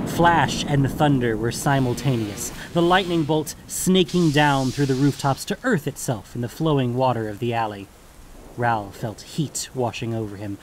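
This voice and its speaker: A man's voice: medium pitch, slight background noise. A man reading scripted fantasy story narration as the narrator.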